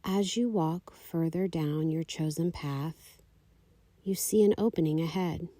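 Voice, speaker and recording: Slow, mellow woman guiding meditation. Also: sits low, no room sound. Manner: calm, soothing, unhurried instruction. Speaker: meditation guide. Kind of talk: guided meditation, slow scripted narration.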